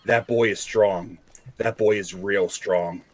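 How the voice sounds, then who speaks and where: A man's voice: fairly low pitch, steady tone, slow. A man playing a tabletop role-playing game, telling what his character does and sees. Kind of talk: measured role-play storytelling.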